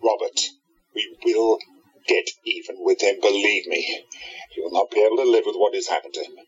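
A muffled, lo-fi recording of a man acting a very husky, low-voiced hero in a radio play. He is a confident leader of time travelers, steady and reassuring as he gives orders. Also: normal speed. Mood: reassuring, resolute. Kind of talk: amateur audio drama acting.

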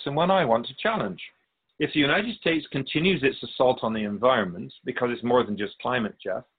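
A man playing a wise, wry otherworldly spirit guide in a radio drama interview, measured and philosophical. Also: medium pitch, slightly rough, phone-quality sound. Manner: measured, philosophical, gently admonishing.

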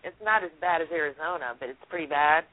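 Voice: medium pitch, fast, dry recording. A caller chatting casually and informally on a radio phone-in. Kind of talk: casual chat. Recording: thin, phone-like call audio.

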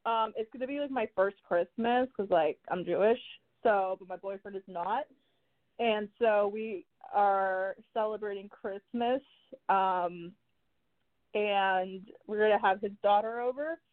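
A woman's voice: mid-range voice, a little slow, dry recording. A woman from Miami hosting a radio show, chatting casually with many filler words. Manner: chatty, lots of "like" fillers and laughs. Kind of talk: casual chat. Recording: tinny phone line.

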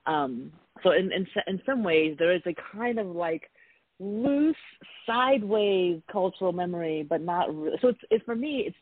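A man from Taiwan talks as a radio guest, circling around his ideas in a casual, reflective way. His voice is slightly high and husky. The line is dry and thin, like a phone call. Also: expressive, moderate pace, no echo. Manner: thoughtful, rambling, with soft laughs. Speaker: guest artist. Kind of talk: casual interview talk.

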